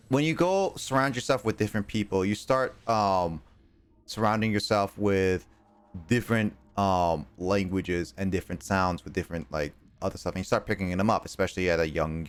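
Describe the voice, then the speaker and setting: A young American man streaming games, talking casually in halting, rambling bursts full of fillers and restarts. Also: deep voice, smooth. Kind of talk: casual chat while gaming.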